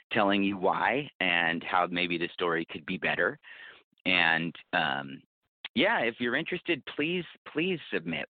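A man with a fairly low voice talks as a guest in a conversational interview. He explains things in an anecdotal way. The sound is narrow and phone-like, with very little room around it. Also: no room sound. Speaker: podcast producer being interviewed. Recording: phone-like narrow-band connection, dry.

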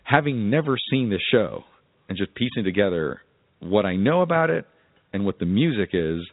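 A man with a lowish voice chats casually as a show's co-host. The audio is very dry and squeezed into a narrow, phone-like band. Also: sing-song, moderate pace, dry recording. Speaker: radio show co-host. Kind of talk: casual chat.

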